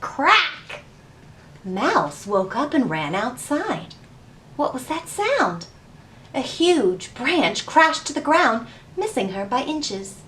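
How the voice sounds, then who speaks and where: A woman leads a storytime for young children in a high, very lively voice that swoops up on questions. She takes her time, explaining and reading in a sing-song way. The sound is roomy and a little distant from the microphone. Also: slow. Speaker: children's library storytime host. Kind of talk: children's storytime instruction and picture-book reading. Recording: roomy, distant mic, faint background noise.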